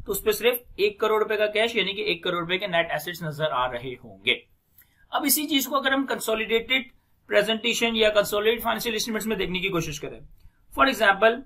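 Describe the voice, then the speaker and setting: A man with a notably high, bright and forceful voice delivers a lecture in a Pakistani accent. He explains step by step as an accounting teacher, repeating key phrases for emphasis and dropping in English technical terms. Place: indoors, recorded screen lecture at a desk.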